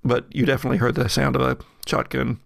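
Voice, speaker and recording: Gravelly man running a horror game. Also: mid-range voice, flat delivery, quick pace, no room sound, studio quality. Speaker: game master. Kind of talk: improvised narration of a tabletop horror game.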